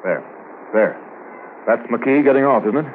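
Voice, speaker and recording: Guy playing a businesslike cop sergeant, 50s radio drama, lowish voice, thin hissy old recording. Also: measured pace, lightly acted. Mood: watchful. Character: sharp, businesslike male police sergeant on a case. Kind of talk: 1950s radio drama acting. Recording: tinny, noisy 1950s radio recording.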